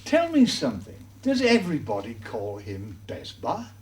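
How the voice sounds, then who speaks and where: A man from Wales, speaking politely and deliberately as a visitor in a comedy series. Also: medium pitch, wildly swooping pitch, darkish tone. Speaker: visiting foreigner.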